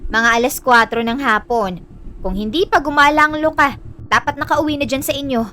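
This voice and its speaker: A woman's voice: high voice, fairly quick, pushed and bright. A woman playing a resigned, nonchalant mother in an audio drama, speaking in a dismissive, matter-of-fact way.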